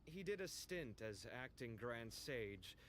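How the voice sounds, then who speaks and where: Game ally: thoughtful young man, medium pitch, earnest and conversational, slight background noise. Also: big pitch swings, slow. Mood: pondering. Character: easygoing, thoughtful young male companion. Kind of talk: video game character acting.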